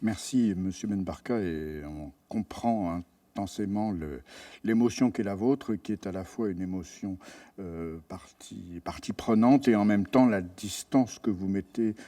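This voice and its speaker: A man moderating a panel who hesitates often and fills his pauses with "euh". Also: sits low, mellow, dry recording. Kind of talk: chairing a panel discussion. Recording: dry, distant mic.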